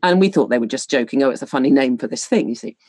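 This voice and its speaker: An English woman in her fifties, a podcast guest telling stories in quick, chatty bursts with laughing asides. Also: extremely low pitch, expressive, dark tone, slightly rough, no room sound, clean studio sound. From England. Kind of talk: casual interview chat.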